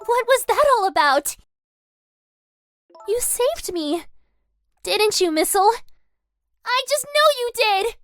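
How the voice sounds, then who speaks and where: A woman's voice: very high-pitched, lively intonation, slow pace, pauses often, a bit bright, dry recording, clean studio sound, heavily acted. A woman playing a naive, chatty little lady in a game fandub. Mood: relieved, puzzled.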